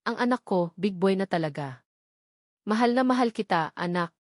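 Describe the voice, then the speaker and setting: A woman's voice: medium pitch, expressive, normal speed, dry recording, studio quality, close to an everyday voice. A woman with a Filipino accent voicing a devoted, anxious young mother in a narrated story. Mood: tender.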